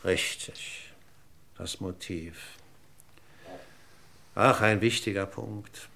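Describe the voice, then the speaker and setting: Seasoned inspector, low-pitched, creaky, deliberate, ironically courteous, needling, German radio crime drama. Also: male voice, pushed and bright, full character voice. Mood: musing. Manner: deliberate, insinuating, politely ironic. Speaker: actor.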